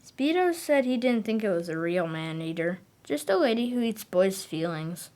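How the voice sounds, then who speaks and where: An actress playing a young, literal-minded boy in an audio drama, speaking in an earnest, halting, matter-of-fact way. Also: medium pitch, big pitch swings, darkish tone, natural voice.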